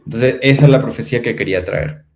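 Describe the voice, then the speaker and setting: A man's voice: medium pitch, fast, creaky. A man with a Venezuelan accent giving an earnest, explanatory talk, often posing questions to his listeners. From Maracaibo, Venezuela. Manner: rhetorical questions with repeated tag phrases. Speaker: speaker on a spiritual talk show.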